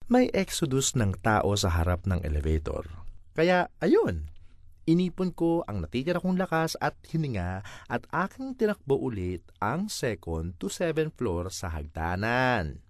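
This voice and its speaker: A man's voice: medium pitch, huge pitch swings, measured pace, dry recording, clean studio sound. A man with a Filipino accent reading a first-person comic monologue in a theatrical, exasperated way. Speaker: voice-over reader of a comic monologue.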